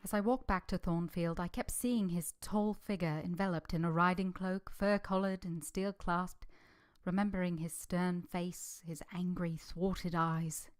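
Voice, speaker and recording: An actress playing a reserved, polite eighteen-year-old English governess in a vintage-style radio drama. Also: female voice, on the low side, steady tone, a little slow, soft background music, close to an everyday voice. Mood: reflective. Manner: restrained, polite, brief and direct in answers. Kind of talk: vintage radio drama acting with first-person narration.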